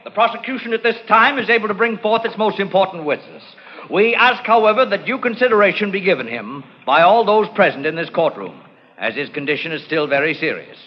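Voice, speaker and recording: A man's voice: sits high, very animated, brisk. An actor playing a stern, accusing male prosecutor with formal, theatrical oratory in a 1940s radio drama. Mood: solemn, insistent. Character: stern, accusing courtroom prosecutor, grown man. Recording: muffled old radio recording.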